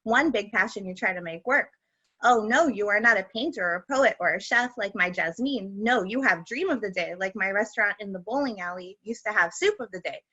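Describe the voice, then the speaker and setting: A woman reading aloud, voicing a stern older grandfather who scolds loudly and emphatically. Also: medium pitch, fairly quick, husky, muffled recording. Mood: scolding. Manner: loud, emphatic, chiding.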